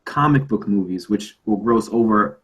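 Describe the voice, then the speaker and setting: A man's voice: fairly low pitch, normal speed, fairly bright tone. A man on a casual podcast panel, arguing his point emphatically and repeating himself to press it. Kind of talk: casual debate.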